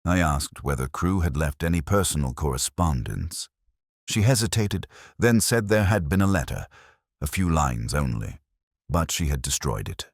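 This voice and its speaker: A man with an extremely low voice reads first-person detective fiction. His delivery is measured and reflective, with lively rises and falls in pitch. The sound is dry and close, suited to literary storytelling. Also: no room sound. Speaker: narrator.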